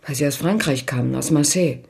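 An actress playing an anxious, loyal woman reluctantly confessing to police in a radio crime drama, defensive and husky. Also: low-pitched, quick pace, darkish tone, heavily acted. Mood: reluctant confession. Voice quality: husky, creaky. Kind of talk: German radio drama acting.

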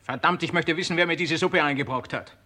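An actor playing an irritable, defiant bar owner in a crime radio play, sarcastic and agitated. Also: male voice, fairly high pitch, fast, bright, forceful tone. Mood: irritated.

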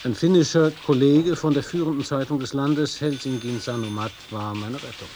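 A man's voice: medium pitch, fairly even intonation, moderate pace, natural voice. Man playing eager roving radio reporter, chatty and wry, mid voice, lots of background noise. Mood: chatty, narrating. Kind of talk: radio reportage and interview in a radio play. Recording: clearly noisy outdoor-style ambience.

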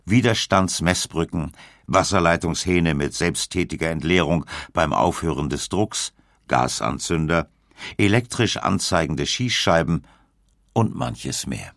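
Male narrator for scripted documentary, bass register, gravelly, recorded bone-dry. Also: dry recording.

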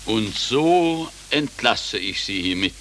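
A courteous but patronizing prison director, with a low, forceful voice. He delivers formal officialese in old radio-play style, with faint hiss on the recording. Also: lively intonation, slow pace, creaky, heavily acted. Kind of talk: 1940s German radio drama acting. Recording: hissy 1940s radio recording.

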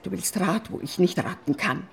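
A bitter middle-aged mother in a radio play, full of shame and scorn. Her voice is very husky and cracks into gravelly creak. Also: on the low side, normal speed, faint music behind, heavily acted. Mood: agitated, condemning.

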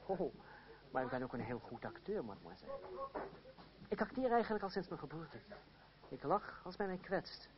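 A man's voice: fairly high pitch, sing-song, moderate pace, long pauses. A man acting a witty, mocking, gallant rogue in love in an old radio drama, ironic and courtly in his delivery. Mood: gallant, teasing. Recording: dull old radio play, crowd noise behind.

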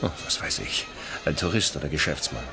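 A deep-voiced, suave cynical journalist. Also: male voice, expressive, brisk, gravelly, music in the background, exaggerated voice. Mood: nonchalant. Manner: ironic, teasing, mock-gallant. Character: suave, cynical male journalist chasing money, world-weary adventurer. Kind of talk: German radio play acting, 1980.

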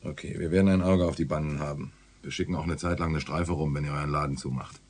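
An actor playing a weary, dryly sardonic homicide detective in a naturalistic radio drama, speaking in a laconic way. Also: male voice, rumbling low, steady tone, fast, darkish tone, slightly rough. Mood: brisk, firm.

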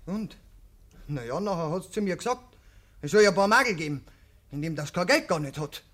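An actor playing a brazen young village lad in a German radio play, defensive and self-justifying, in Bavarian dialect. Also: male voice, sits high, animated, a little fast, fairly bright tone. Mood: insistent. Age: young adult. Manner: hedging, defensive.

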